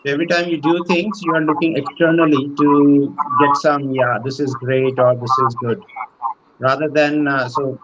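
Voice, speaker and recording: Man, mid-range voice, halting, informal, muffled noisy recording. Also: on the high side, level tone. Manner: halting, searching for words. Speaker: panelist. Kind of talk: informal panel talk. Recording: dull, noisy online call with clicks.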